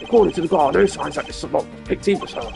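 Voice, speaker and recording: Performer acting bumbling male police detective, video game character, eager, loyal, folksy, animated pitch, creaky edge. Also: quick pace, music in the background. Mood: eager reporting.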